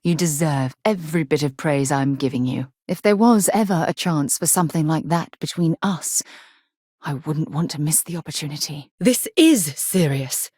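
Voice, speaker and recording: Expressive, principled woman space explorer. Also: low-pitched, normal speed, slightly rough, no room sound, clean studio sound. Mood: earnest, warm. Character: principled, earnest female space explorer, loyal and articulate. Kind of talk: video game character dialogue.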